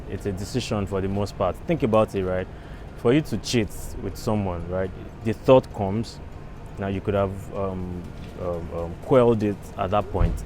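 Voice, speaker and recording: A man's voice: lowish voice, a little mellow. A man giving a casual opinion as an interviewee, arguing emphatically step by step and checking in with the listener. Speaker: street interviewee.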